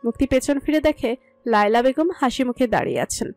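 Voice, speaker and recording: A woman's voice: on the high side, very animated, quick pace, a little mellow. A woman narrating a scripted romantic story. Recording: music under the voice. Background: music.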